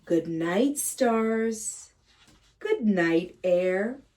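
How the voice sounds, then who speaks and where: A woman reading a picture book aloud to young children as a teacher, speaking to them directly in simple, gentle phrases. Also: mid-range voice, lively intonation, very slow. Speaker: kindergarten read-aloud teacher and host. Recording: slightly distant microphone.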